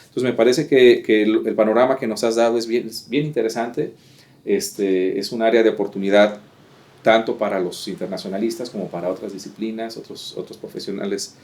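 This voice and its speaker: Male academic interviewer, lowish voice, formal, hesitant, filler-heavy. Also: steady tone, brisk, darkish tone. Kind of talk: interview summary and wrap-up.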